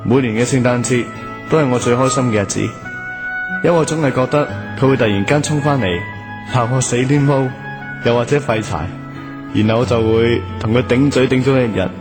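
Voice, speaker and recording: An actor playing a lovelorn, hopeful young student in a radio drama, earnest and wistful. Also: male voice, mid-range voice, brisk, natural voice. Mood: wistful, sad. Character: lovelorn, hopeful young male student waiting for his girlfriend. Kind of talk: Cantonese radio drama acting and first-person narration. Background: music.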